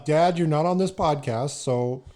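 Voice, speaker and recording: Man, podcast host, booming loud voice, fairly high pitch, laughs often. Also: unhurried. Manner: laughs often, leads the questions. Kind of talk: casual podcast chat.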